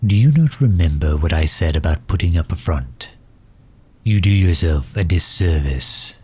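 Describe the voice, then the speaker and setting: A man playing a courtly megalomaniac villain in an ASMR audio drama, speaking formally and with measured, gentle solicitude. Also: expressive. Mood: gentle concern. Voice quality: husky, low. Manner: formal, measured, silky endearments.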